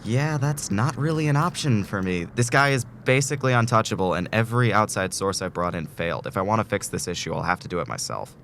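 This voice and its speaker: A man's voice: fairly low pitch, expressive, quick pace, hardly any pauses, a bit bright, a little hiss or hum. A man acting a desperate, tormented patient in a student audio drama, speaking in a weary, urgent, rambling way. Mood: agitated. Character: desperate, tormented man trapped in torture loop.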